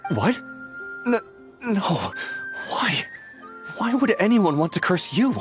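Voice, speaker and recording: A man's voice: high voice, sing-song, slow, music in the background, heavily acted. An actor voicing an earnest, protective young man in a game, who talks bluntly and grows exasperated. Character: earnest, anxious young male master, protective. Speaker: game character.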